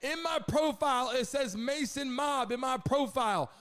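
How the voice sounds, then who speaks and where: A man's voice: very high voice, moderate pace, clear, resonant tone. A man hosting a live stream, chatting informally and slangily, often addressing viewers by name. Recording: loud music behind the voice. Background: loud music.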